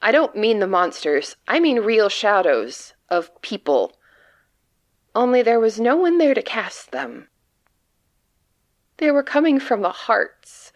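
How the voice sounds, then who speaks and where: A woman playing a frightened, despairing agent trapped among monsters, delivering a halting, grimly sardonic confessional monologue in an audio drama. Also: fairly high pitch, very animated. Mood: horrified, shaken. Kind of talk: dramatic monologue reading of a written report.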